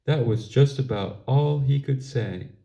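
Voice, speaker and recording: A man reading a children's picture book aloud in an expressive storytelling style, with deliberate phrasing. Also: medium pitch. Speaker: storybook reader.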